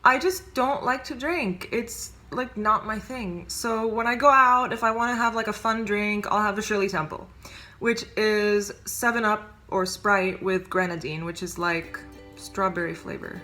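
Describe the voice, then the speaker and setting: Bright-voiced chatty woman in her twenties. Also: medium pitch, unhurried, soft background music. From United States. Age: 20s. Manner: chatty, upbeat, lots of fillers and asides. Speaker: vlogger. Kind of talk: casual talking-to-camera vlog.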